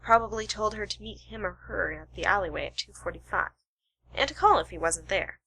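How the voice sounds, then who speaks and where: A woman's voice: medium pitch, a little fast, gravelly, pushed and bright, breathy edge, exaggerated voice, rough recording. A woman playing a shrewd, self-assured detective in an amateur radio play, explaining with dramatic deliberation. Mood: assured, revealing.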